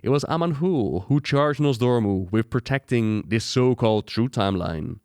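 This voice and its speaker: A man's voice: mid-range voice, lively intonation, moderate pace, darkish tone, dry recording. A man hosting a gaming lore video, narrating a script with dramatic rhetorical questions into a close microphone.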